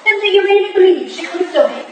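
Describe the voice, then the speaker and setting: A woman's voice: very high-pitched, lively intonation, fast talker. A woman playing an earnest, questioning young protégée detective in a staged reading of a period play. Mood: puzzled, earnest. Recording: very echoey hall, distant mic, hiss.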